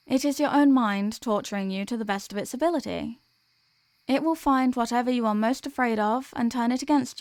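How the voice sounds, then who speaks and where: A woman playing a gentle, protective sleep paralysis demon in an ASMR comfort roleplay, speaking in a soothing, tender, reassuring way. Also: on the high side, no room sound, close to an everyday voice.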